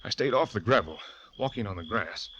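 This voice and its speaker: A guilt-ridden stammering young husband. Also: male voice, lowish voice, expressive, fairly bright tone, lo-fi sound, slight background noise. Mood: tense. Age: young adult. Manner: halting, stammering repeats. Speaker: narrator and lead. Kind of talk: 1940s radio drama narration.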